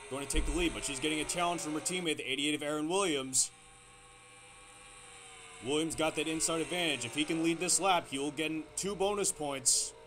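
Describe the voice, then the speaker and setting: A man giving play-by-play commentary on a simulated stock car race, getting excited at close moments and restarting sentences with fillers. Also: on the high side, a little fast, slight background noise. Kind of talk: amateur sim racing play-by-play commentary.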